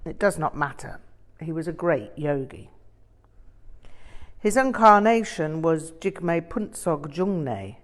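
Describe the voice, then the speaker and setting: A woman reader voices an older Tibetan Buddhist master in an audiobook, a blunt, teasing storyteller. The delivery is slow and low, with deliberate weight on the key words. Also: animated. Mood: didactic, blunt. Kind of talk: audiobook character reading.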